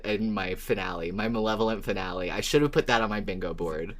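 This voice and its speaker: A woman with a very low-pitched voice hosts a casual fan podcast. She talks with keen enthusiasm and leans hard on words for emphasis. Her language is relaxed and sometimes sweary. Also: quick pace. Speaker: podcast host.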